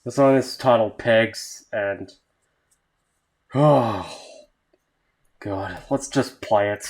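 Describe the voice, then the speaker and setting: A man's voice: on the low side, extremely slow, darkish tone. A man doing a casual video reaction, reading captions aloud and muttering sweary asides. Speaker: YouTube reaction host.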